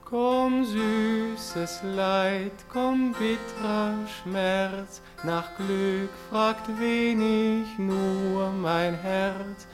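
A woman's voice: mid-range voice, fairly even intonation, drawn-out words, hardly any pauses, music in the background. A woman playing an eager, lovestruck, melancholy young man in a radio drama, earnest and lyrical. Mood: mournful. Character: eager, lovestruck, melancholy young male poetry-loving singer. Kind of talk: radio drama acting with sung verse.

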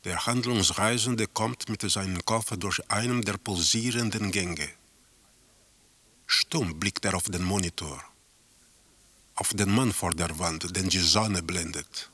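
A man's voice: sits low, unhurried, bright, forceful tone, slightly rough, no room sound, full character voice. An actor playing a detached observer in a radio drama, narrating what he sees in terse, clipped phrases. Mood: observing. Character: detached male observer describing flickering film images.

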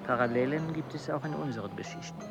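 An actor playing a calm male storyteller in a radio play, measured and unhurried. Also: medium pitch, creaky, dull audio, over loud music, natural voice.

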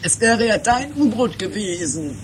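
Fussy know-it-all fiancé, played by a man, lively swings in pitch, nagging and hesitant, trash radio comedy with audible noise. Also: a little slow. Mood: fussy, exasperated. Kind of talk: improvised 1980s trash comedy radio play. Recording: noisy lo-fi 1980s amateur radio recording.

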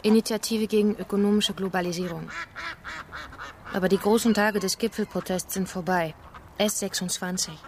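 An actress playing a defiant young human-rights activist in a radio drama, combative, sardonic and impassioned. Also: female voice, medium pitch, fairly even intonation, moderate pace, close to an everyday voice. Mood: guarded, earnest. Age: young adult. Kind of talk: naturalistic German radio play dialogue.

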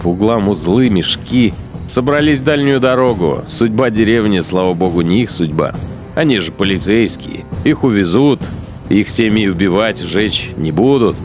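A cold, sardonic Nazi commander played by a man thinks aloud in a radio play. His voice sits low, and he weighs his phrases with deliberate contempt. Music plays faintly behind him. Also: lively intonation, natural voice. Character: cynical, cold-blooded male Nazi punitive unit commander, musing contemptuously. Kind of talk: dramatized inner monologue in radio play.

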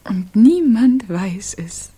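Coy soothing maid in a radio play, husky, very expressive pitch, kinda secretive. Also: female voice, takes it slowly, full character voice. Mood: coy, calm. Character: coy, soothing, protective housemaid in 1917 Paris. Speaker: actress in a radio crime drama. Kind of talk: German radio play acting.

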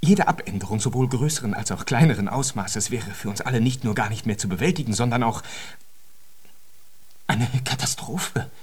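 Husky, fretful concert conductor played by a man. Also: medium pitch, moderate pace, close to an everyday voice. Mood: alarmed, agitated. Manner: agitated, wordy, formal, theatrical. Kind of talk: German radio drama acting.

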